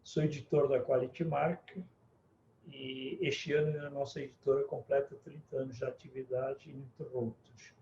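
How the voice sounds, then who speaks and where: Middle-aged man from Mozambique, dark and formal. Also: medium pitch, level tone, slight background noise. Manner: formal and measured, building points in lists. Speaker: guest, book publisher. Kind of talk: interview answers. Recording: echoey room, dull lo-fi sound.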